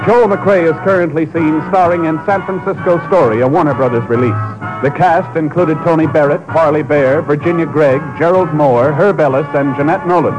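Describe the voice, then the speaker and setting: A man's voice: fairly high pitch, poor audio. A man with an American accent announcing a 1950s radio drama in a formal scripted style. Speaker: program announcer. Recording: old radio broadcast with loud music.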